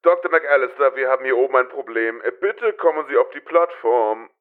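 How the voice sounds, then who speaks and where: Brief: man acting facility announcer, formal paging delivery, middle pitch. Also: brisk, narrow, tinny audio, lightly acted.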